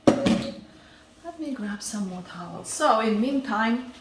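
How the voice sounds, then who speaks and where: A woman's voice: on the high side, lively intonation, slow, roomy sound, far from the mic, heavy background noise. A woman with a Ukrainian accent hosting a casual cooking demonstration, chatty and often checking in with her viewers. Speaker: cooking show host.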